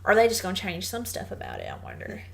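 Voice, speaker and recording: A woman's voice: medium pitch, wildly swooping pitch, quick pace, creaky, fairly bright tone. Woman with a thick Southern drawl. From American South. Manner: chatty, laughs often, trips over names. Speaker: podcast co-host. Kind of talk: casual chat.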